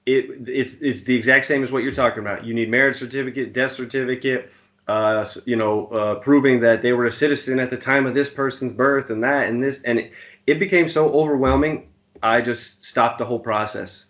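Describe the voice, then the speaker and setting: A man's voice: medium pitch, steady tone, a little fast, a bit bright. A man hosting a podcast interview who reacts often with "wow" and "mm-hmm" and stumbles over repeated words while he looks for the right phrase. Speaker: podcast host.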